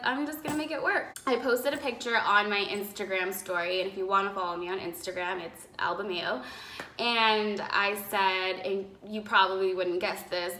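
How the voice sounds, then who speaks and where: Young woman rambling on about her trip, a bit raspy from a cough, bright voice, phone mic in a roomy apartment. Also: medium pitch, a little hiss or hum. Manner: chatty and rambling, lots of "like" and "um". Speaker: vlogger. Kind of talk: casual chat to camera. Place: apartment living room, indoors. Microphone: phone camera in front, seated. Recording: echoey room on a phone mic.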